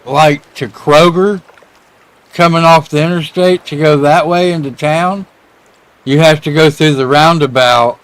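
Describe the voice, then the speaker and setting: Informal male voice on the higher side, colloquial, for relaxed back-and-forth stream chat. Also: measured pace, poor audio. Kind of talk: casual chat on a live stream.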